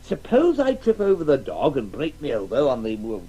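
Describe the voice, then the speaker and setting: Blustering English father, wildly animated. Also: medium pitch, moderate pace, dull audio. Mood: jovial, rambling. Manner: blustery, digressive, tags sentences with "eh" and "what". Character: blustering, chatty golf-mad English father. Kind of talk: 1980s radio drama acting. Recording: faintly noisy old radio broadcast.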